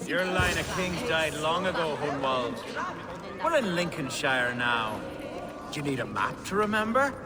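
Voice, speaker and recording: Mocking heckler, fairly high voice, scornful, video game, reverberant hall, crowd noise. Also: male voice, animated, a little slow.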